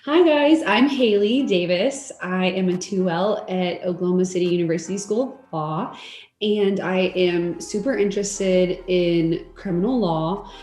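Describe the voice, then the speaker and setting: A woman's voice: on the low side, measured pace, darkish tone, echoey room, soft background music. A young woman from Texas, a law student guest, chatting frankly with hesitant fillers. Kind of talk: casual interview chat.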